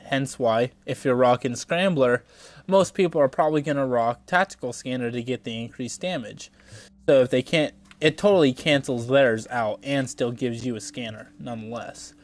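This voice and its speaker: A young man with a congested, stuffy voice, casually commenting on a video game as he plays, rambling and thinking aloud with some swearing. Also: mid-range voice. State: congested from a cold. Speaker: gameplay streamer.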